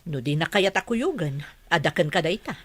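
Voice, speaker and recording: Casting: nagging rich wife, lowish female voice with animated swings, radio drama. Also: fast talker. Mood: complaining. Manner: complaining. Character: nagging, discontented wealthy wife wanting company. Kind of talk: Filipino radio drama acting.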